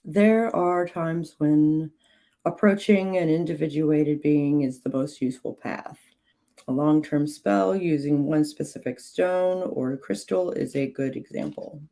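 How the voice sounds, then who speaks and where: A woman hosting a livestream, reading witchcraft guidance aloud in a measured way, her voice slightly hoarse. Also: low-pitched, mellow. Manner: slow, measured reading with clipped, dry asides to chat. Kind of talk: reading aloud with chat asides.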